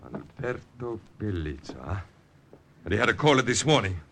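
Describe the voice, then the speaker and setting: A suspicious Italian partisan boss in a vintage radio play. His forceful voice swings widely in pitch as he questions with mocking, deliberate menace. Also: very animated, creaky, slight background noise, exaggerated voice. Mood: mocking interrogation. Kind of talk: old-time radio drama acting.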